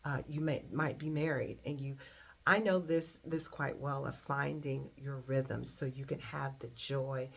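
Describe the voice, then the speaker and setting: A middle-aged woman with a very deep, husky voice, giving an earnest motivational talk and stressing key words deliberately. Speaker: motivational coach and singer.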